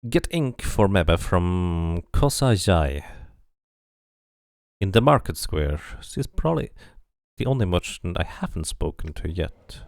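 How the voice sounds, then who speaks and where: A man with a low voice and a noticeable creak streams a game. He moves between reading narration aloud and casual musing, with lively intonation. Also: slow pace, no echo, clean studio sound. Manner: muses aloud while playing. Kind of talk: casual playthrough commentary and read-aloud narration.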